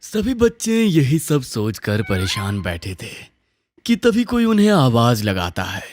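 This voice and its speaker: Very low-voiced woman narrating a children's story. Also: wildly swooping pitch, measured pace, darkish tone, a little hiss or hum.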